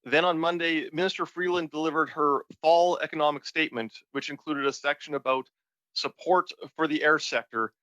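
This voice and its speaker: A man speaking as a politician questioning witnesses in a formal, deliberate way at a committee hearing. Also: fairly high pitch, steady tone, fairly bright tone, no echo. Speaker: member of parliament. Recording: slightly dull, lo-fi meeting audio.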